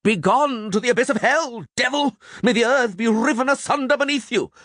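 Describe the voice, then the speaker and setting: A man's voice: high voice, animated, unhurried, slightly rough, dry recording, clean studio sound, voice pushed up, exaggerated voice. A man playing a fanatical, easily rattled village priest in a fantasy audiobook, with an overwrought, unsteady delivery. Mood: furious fanaticism. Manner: bellowing, shrieking, stammering when rattled. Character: fanatical, frightened, shrieking male village priest.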